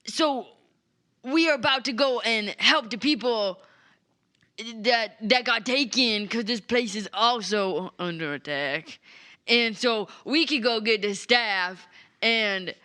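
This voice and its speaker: A woman's voice: fairly high pitch, slow pace, pushed and bright. A woman voicing an earnest, folksy adventurer in a tabletop game, speaking in simple, hesitant phrases with broken grammar. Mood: earnest, uneasy.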